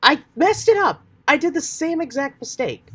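A woman's voice: high voice, sing-song, moderate pace, fairly bright tone. Woman with a slight cold, casual. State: getting over a cold. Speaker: voice actor and channel creator. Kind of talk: casual announcement and off-script asides. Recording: clear background noise.

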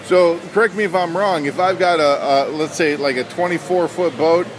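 A man with a somewhat high voice interviews a vendor at an indoor boat show, sounding hearty and enthusiastic. He records on a phone held close. Loud hall chatter runs under everything. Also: moderate pace. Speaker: magazine publisher and interviewer. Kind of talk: upbeat trade show interview. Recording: loud crowd and hall noise.

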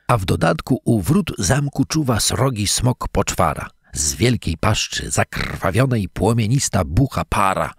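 A man reading a fairy tale in verse aloud, as a measured, dramatic storyteller. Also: on the low side, vocal fry, slightly rough, no echo, studio quality. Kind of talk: expressive scripted fairy-tale narration in verse.

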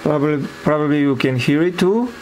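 A man giving an informal hands-on demonstration as presenter of an electronics project, with hesitant, halting phrasing. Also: on the high side, lively intonation, darkish tone, a little hiss or hum, rough recording.

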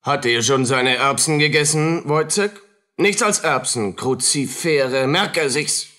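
A man's voice: fairly high pitch, slow pace, sharp tone. A man playing a pompous, scolding doctor obsessed with experiments, declaiming in barked phrases in a radio drama. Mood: indignant.

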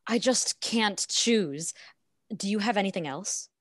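A young American wife in a radio play, vain and easily upset. Her voice sits at a middle pitch, recorded close and dry. She talks in casual, sulky slang. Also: lively intonation, a little slow, dry recording. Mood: eager. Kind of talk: modern radio play acting.

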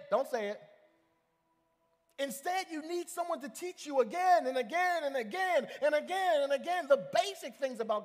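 A male pastor with a fairly high voice preaches with emphasis, often doubling back on his words and calling out to the congregation. His voice carries a long hall reverb, with faint music under it. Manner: emphatic, with repeated restarts and calls to the congregation. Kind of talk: sermon. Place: church sanctuary.

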